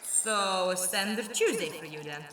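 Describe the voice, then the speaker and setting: Quick-witted, caring female sidekick for audio drama, acted by a woman; animated, roomy and distant. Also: fairly low pitch, slight background noise. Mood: wry, curious. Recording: distant, roomy, loud music behind.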